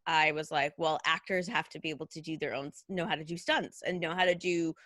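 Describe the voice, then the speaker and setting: A woman's voice: sits low, fairly even intonation, fairly quick, a bit bright, dry recording, studio quality. A woman in her thirties, a costume designer as interview guest, talking in a chatty way, full of "like" and "you know". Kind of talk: casual conversational interview.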